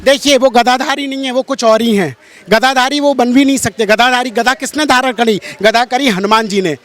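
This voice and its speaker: A man with a strikingly high, sharp and forceful voice holds forth as a religious interviewee. His delivery is preachy and emphatic, and he hammers points home by repeating his phrases. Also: brisk. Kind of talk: impassioned street-interview polemic.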